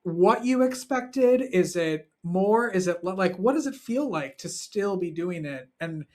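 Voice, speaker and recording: High-voiced male talk show interviewer. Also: animated, a little slow, a little mellow. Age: 40s. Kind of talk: conversational interview.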